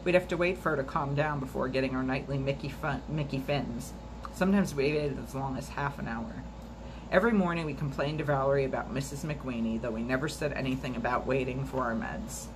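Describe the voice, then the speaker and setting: A woman reading a memoir aloud for a storytime video at a steady, measured pace, now and then stumbling and restarting a word. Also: extremely low pitch, noisy background. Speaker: storytime reader.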